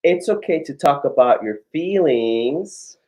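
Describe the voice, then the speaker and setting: Drag queen doing kids story time, really slow n drawn out, theatrical, encouraging, mid-pitched voice. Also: lively intonation, a little mellow. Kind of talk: children's read-aloud with playful banter.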